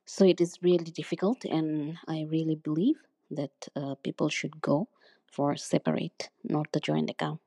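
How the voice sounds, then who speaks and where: A woman, earnestly leaving a voicemail and pausing often with "uh". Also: sits low, animated, dark tone, slightly rough, no echo, clean studio sound. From Bangladesh. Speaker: voicemail caller. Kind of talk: recorded voicemail testimony.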